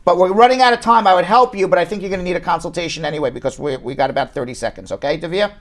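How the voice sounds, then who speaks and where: A man hosting a legal call-in radio show, his voice pitched quite high for a man. He talks briskly and directly, firing off questions one after another. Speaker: immigration attorney, radio host.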